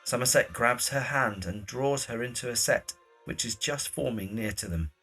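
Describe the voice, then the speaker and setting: Male narrator with a mid-pitched voice. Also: normal speed, bright, forceful tone, breathy edge, faint music behind, low-quality recording. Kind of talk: scripted present-tense drama narration.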